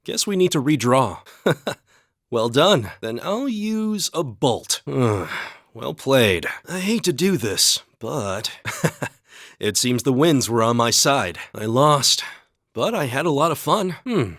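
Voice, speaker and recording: A man voicing a calm, earnest young highland warrior in a video game, with measured, slightly formal phrasing. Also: dry recording, clean studio sound, full character voice. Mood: calm, friendly. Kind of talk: English video game voice acting.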